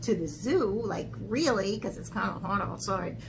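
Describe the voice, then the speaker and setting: A woman's voice: mid-range voice, lively intonation, moderate pace, soft background music. A woman hosting a children's art camp, talking casually and chattily to young viewers. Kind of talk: casual talk to children, read-aloud. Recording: clear background noise.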